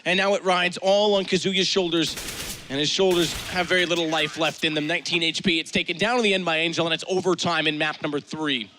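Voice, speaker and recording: Bright-voiced male esports co-caster. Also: steady tone, brisk, slight background noise. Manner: joking, climbs in pitch on big plays. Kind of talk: color commentary and banter. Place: studio set with gaming stations. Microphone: headset mic.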